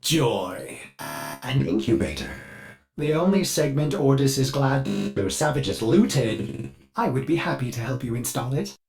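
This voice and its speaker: A man voicing an anxious, servile male ship computer in a video game, formal and halting, with synthetic processing. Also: mid-range voice, animated, darkish tone, soft background music. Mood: subdued, deferential. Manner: formal and obsequious, with halting stammers. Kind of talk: scripted video game character acting.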